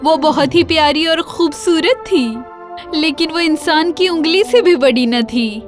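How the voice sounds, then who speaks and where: A woman storyteller reading scripted fairy-tale narration for children. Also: high-pitched. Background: faint music.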